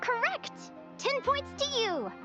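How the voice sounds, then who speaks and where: A woman's voice: very high-pitched, big pitch swings, slow pace, muffled recording, exaggerated voice. A woman playing a cheerful young female wilderness advisor who teaches like a quiz master in an anime game. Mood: encouraging. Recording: band-limited game audio, music behind.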